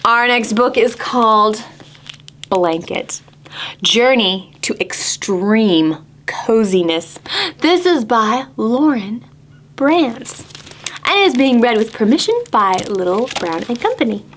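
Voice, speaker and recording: A woman's voice: fairly high pitch, lively intonation, takes it slowly, a little hiss or hum. A woman hosting storytime for preschoolers, speaking playfully in simple instructions to small children. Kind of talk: sing-song storytime for young children.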